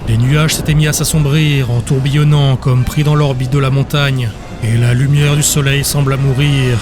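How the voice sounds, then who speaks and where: A man reading scripted dramatic narration as the narrator of an audiobook. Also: medium pitch.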